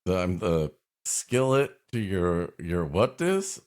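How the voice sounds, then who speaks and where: A man's voice: low-pitched, very animated, slow pace, a little mellow. A man co-hosting a comedy podcast, cutting in with rambling jokes. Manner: rambling, jokey interruptions. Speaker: podcast co-host.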